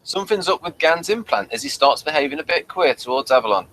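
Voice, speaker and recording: Bright-voiced man reviewing over background noise. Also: fairly high pitch, expressive, fast, rough recording. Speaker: review show host. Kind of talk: conversational TV episode review, reading notes aloud.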